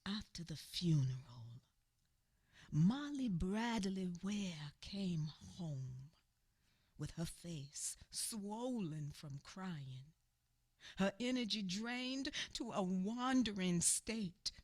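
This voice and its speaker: A woman's voice: medium pitch, very animated, slow pace, husky. A woman narrating a radio theater play in a mournful, theatrical way, drawing out her phrases. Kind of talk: dramatic scripted narration.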